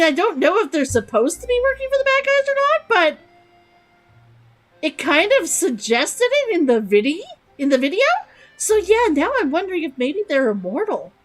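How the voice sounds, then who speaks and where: High, animated young woman streamer. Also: low-quality recording. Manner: chatty, giggly, repeats words to try them out. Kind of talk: live game commentary.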